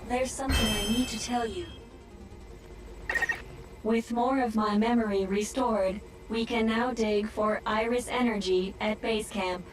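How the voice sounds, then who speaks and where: Female support AI for a game, played by an actress: measured, gently wry, slightly distant, loud music behind. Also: a little high, fairly even intonation, lightly acted. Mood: helpful, matter-of-fact. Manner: measured, precise, formal. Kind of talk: video game cutscene acting.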